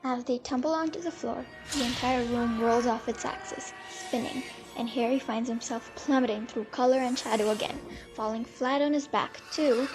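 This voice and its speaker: A female narrator with a slightly high voice reads scripted, descriptive audio drama narration. Music plays clearly behind her. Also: low-quality recording.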